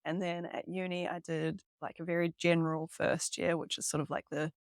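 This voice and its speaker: A woman's voice: fairly low pitch, flat delivery, a little fast, clean tone, no room sound. Young New Zealand woman, podcast guest, chatty, filler-heavy, close dry sound. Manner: chatty, lots of "um" and "like", laughs easily. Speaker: podcast guest, hiking guide. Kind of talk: casual interview chat. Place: hostel room.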